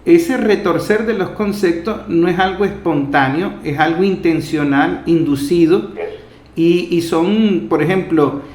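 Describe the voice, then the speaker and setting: A man with a fairly high voice and a Venezuelan accent speaks in an echoey room. As the interviewer he talks discursively, building long and earnest reflections on the way to his question. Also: a little slow, a little mellow, a little hiss or hum. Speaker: interviewer, host. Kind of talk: discursive political interview talk.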